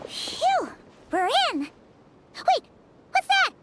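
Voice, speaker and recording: A woman voicing a tiny, excitable childlike fairy companion in a video game, squeaky and exclamatory. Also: extremely slow, full character voice. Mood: excited. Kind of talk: cartoonish video game voice acting.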